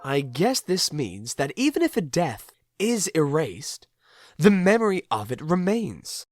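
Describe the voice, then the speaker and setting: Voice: mid-range voice, big pitch swings, a little slow, a little mellow, no echo, faint music behind. An actor playing a bewildered, polite amnesiac spirit with dry wit in a video game fan dub, hesitant and given to exaggerated surprise. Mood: musing narration. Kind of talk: dramatic fan dub with inner monologue.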